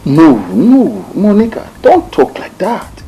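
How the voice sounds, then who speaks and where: A man playing a father who gently advises his daughter. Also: sits high, sing-song, slow, heavily acted, rough recording. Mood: fatherly.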